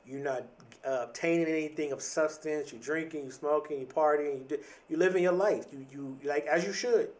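A man in his thirties with a fairly high voice muses in a slow, reflective podcast monologue. He doubles back on his words and drops easy slang. His voice sits a little back from the microphone. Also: darkish tone, recorded from a distance. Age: 30s. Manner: thoughtful, repeats and restarts words, casual slang.

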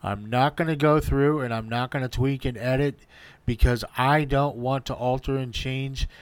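A man's voice: mid-range voice, steady tone, moderate pace, clear, resonant tone, no echo. A man hosting his own podcast, giving an earnest solo update and listing his points in repeated runs. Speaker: podcast host.

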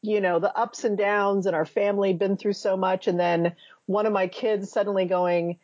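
A woman's voice: mid-range voice, fairly even intonation, normal speed, a little mellow, no room sound, muffled recording. A woman in her fifties, a memoir author answering interview questions thoughtfully, searching for words and restarting often. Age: 50s.

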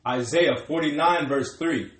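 A man's voice: a little high, unhurried. A man reading Bible verses aloud in a formal, declamatory way as a scripture reader. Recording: echoey room.

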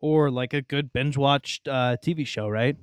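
Clear-voiced young man, chatting. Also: medium pitch, moderate pace, no room sound. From Texas, United States. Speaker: podcast co-host. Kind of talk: casual video game discussion.

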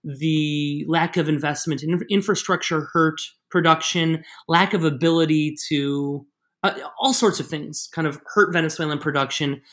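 A man's voice: on the high side, steady tone, moderate pace, fairly bright tone. A man who is the guest on an interview podcast, explaining at length with many restarts. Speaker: guest expert.

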